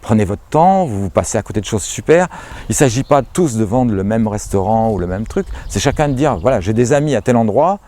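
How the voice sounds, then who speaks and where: A man's voice: fairly low pitch, expressive, brisk, dark tone. A man, a former lock keeper, speaking animatedly and enthusiastically in an interview. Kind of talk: interview testimony.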